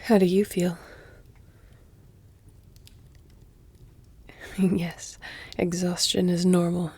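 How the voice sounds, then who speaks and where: Close and very dry, this is a seductive vampire lady performed by a woman for an audio roleplay. Her voice is dark and mellow with a gravelly creak, often sinking toward a whisper. She speaks formally and deliberately, teasing as she goes. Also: fairly low pitch, level tone, dry recording. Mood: fond, content. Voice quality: breathy, hushed, often near whisper. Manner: slow, deliberate, formal, teasing, breathy delivery. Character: flirtatious, seductive, elegant female vampire. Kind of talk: intimate audio roleplay, scripted. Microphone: close, dry microphone.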